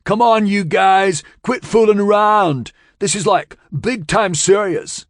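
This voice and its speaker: A man voicing a laid-back, slangy hippie man who drawls slowly and sarcastically. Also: sits low, sing-song, slightly rough, no echo, clean studio sound, exaggerated voice. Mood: urgent.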